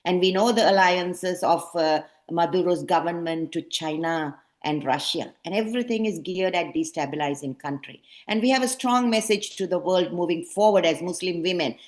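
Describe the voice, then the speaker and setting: Low-voiced woman hosting a panel. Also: moderate pace, few pauses. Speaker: program host. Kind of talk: moderating an online panel.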